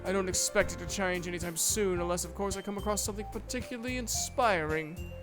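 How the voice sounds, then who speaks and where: A woman's voice: medium pitch, quick pace, over music, voice pushed down, natural voice. A woman voicing a reserved, proud, bookish teenage girl in an anime visual novel fandub, speaking in a formal, precise, defensive way. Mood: politely stiff.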